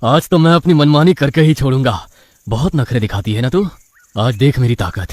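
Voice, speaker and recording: A man's voice: mid-range voice, moderate pace, darkish tone, no room sound, natural voice. A man voicing a lecherous, threatening young village ruffian in a cartoon story. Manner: threatening, leering. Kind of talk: Hindi cartoon story voice acting.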